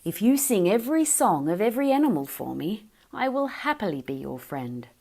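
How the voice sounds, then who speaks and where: A woman voicing a gentle young female lyrebird in a storybook reading, speaking kindly with a soft, knowing manner. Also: medium pitch, big pitch swings, fairly quick, vocal fry, voice pushed up. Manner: kind, gentle, softly knowing.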